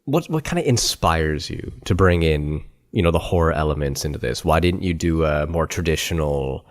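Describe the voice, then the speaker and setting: A man hosting a podcast interview, asking questions in a quick, chatty, rambling way. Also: very deep voice, lively intonation. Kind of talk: casual interview chat.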